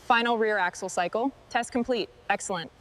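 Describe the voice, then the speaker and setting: A woman supervising a truck restoration, giving crisp, businesslike commands. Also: mid-range voice, fairly quick. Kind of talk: scripted workshop dialogue.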